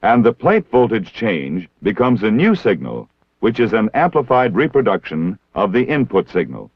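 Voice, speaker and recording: An American man narrating a scripted training film in a formal, measured, precisely enunciated way. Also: fairly low pitch, very animated, slightly rough, dry recording. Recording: dull 1960s film soundtrack.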